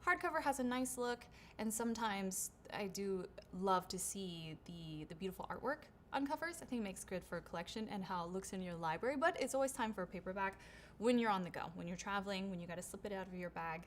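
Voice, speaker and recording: A woman's voice: mid-range voice, lively intonation, quick pace, slight background noise. A woman voice actor hosting her own livestream Q&A. She reads viewer questions aloud and answers in a chatty, upbeat way, with lots of "right?" and "um". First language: English. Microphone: shotgun studio microphone.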